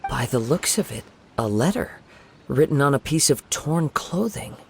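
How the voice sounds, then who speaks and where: An actor playing a calm, thoughtful young wandering swordsman in a video game, with measured, formal phrasing. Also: mid-range voice, gravelly, husky, exaggerated voice. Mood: grave, reasoning.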